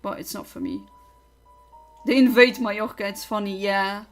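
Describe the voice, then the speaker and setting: Young woman, livestream chatter, talkative, opinionated, middle pitch. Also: big pitch swings, moderate pace, soft background music. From Luxembourg. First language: Luxembourgish. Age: young adult. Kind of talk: casual livestream chat.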